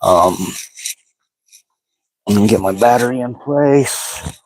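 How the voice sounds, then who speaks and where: A hoarse man with bronchitis hosting a livestream, chatting casually and speaking straight to his viewers. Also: mid-range voice, slow pace, no room sound, poor audio. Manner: informal, talks directly to the chat. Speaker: livestream host.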